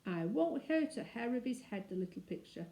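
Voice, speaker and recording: A woman reader plays a persistent, motherly traveller woman from a storybook. She wheedles in folksy phrases with a mellow tone. The room sounds echoey. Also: a little high, very animated, normal speed. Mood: coaxing. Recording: roomy, echoing indoor sound.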